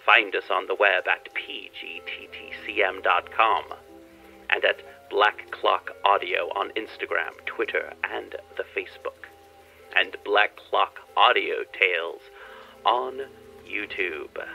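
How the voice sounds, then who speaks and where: A man's voice: deep voice, fairly even intonation, slow pace, vocal fry, sharp tone, rough recording. A man reading a scripted podcast introduction as announcer, with music behind him. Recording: music under the voice.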